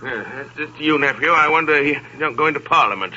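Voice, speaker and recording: A sneering, tight-fisted old man in a 1930s radio drama. His tone is hard and bright, and every line comes out as a scornful snap. The sound is muffled, lo-fi broadcast audio. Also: a little high, fairly quick, slight background noise. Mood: dismissive. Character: miserly, bitter old male moneylender Scrooge.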